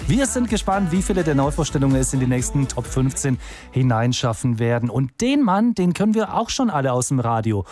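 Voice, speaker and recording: A man's voice: medium pitch, very animated, brisk, darkish tone. A man hosting a music chart show, presenting acts with upbeat patter and interviewing a guest. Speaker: radio show host.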